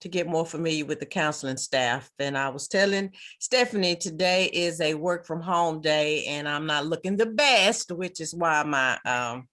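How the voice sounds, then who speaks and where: A woman with a bright, crisp voice rambles in a friendly way on a video call, her camera switched off. She works as a student engagement coordinator. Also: low voice, moderate pace, hardly any pauses.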